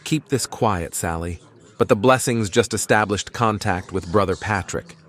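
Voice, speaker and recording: Man playing low-voiced, stubborn priest captain. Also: a bit bright, natural voice. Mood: quietly analytical. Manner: terse, firm, deliberate. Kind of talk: fan audio drama acting.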